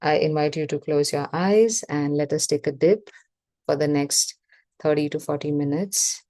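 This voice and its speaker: A woman leading a guided meditation as facilitator, speaking in measured short phrases with pauses between them. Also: low-pitched, mellow, dry recording, clean studio sound.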